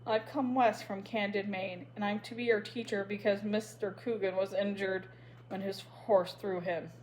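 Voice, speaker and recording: A prim young schoolteacher, as a woman reader puts her on, clipping each word crisply and firmly like an order. She keeps a mid-height voice, proper and stiff, with no warmth to spare. A faint steady hum sits under the storybook reading. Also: medium pitch, moderate pace, natural voice, poor audio. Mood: stern. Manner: crisp, firm, commanding.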